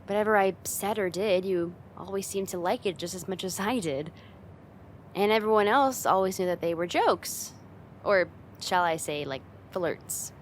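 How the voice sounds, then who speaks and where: A woman's voice: medium pitch, normal speed, a little hiss or hum, lightly acted. A young woman playing a friend's teasing, affectionate older sister in a casual, intimate ASMR roleplay. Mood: playful, confiding. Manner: teasing, giggly, soft sighs, casual hesitant phrasing.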